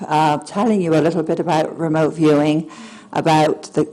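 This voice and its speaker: A soft-spoken woman giving a public lecture at a symposium, hesitating often with fillers and restarts. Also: deep voice, low-quality recording. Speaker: guest speaker. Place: lecture hall.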